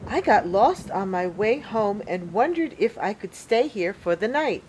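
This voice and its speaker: A woman's voice: medium pitch, very animated, unhurried, a little mellow, a little hiss or hum, poor audio. A woman voicing a friendly, polite young princess in a children's fairy tale.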